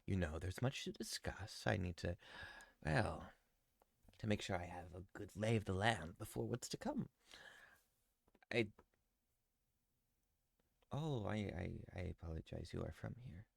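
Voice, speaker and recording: A man's voice: sits low, expressive, gravelly, slightly rough, no echo, clean studio sound, exaggerated voice. A man doing a frail, courtly old archbishop. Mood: hesitant. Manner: hesitant, halting, polite. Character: frail older male archbishop, courtly and apologetic.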